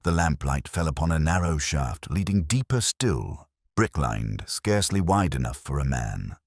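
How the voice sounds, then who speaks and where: A man narrating a scripted detective story in a measured, atmospheric storytelling manner. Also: rumbling low, big pitch swings, creaky, dry recording, studio quality.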